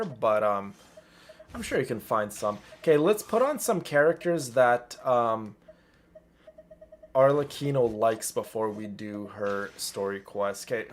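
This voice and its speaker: A man livestreaming a video game, chatting casually and exclaiming to his viewers as game music plays. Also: mid-range voice. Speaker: game streamer, host.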